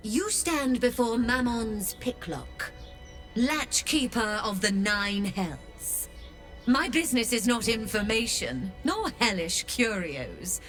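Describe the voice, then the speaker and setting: A woman voicing a shrewd, imperious merchant of the Hells in a video game, with a measured, theatrical, haughty manner. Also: a little high, sing-song, fairly bright tone, soft background music, full character voice. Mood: proud, intrigued. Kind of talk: video game character acting.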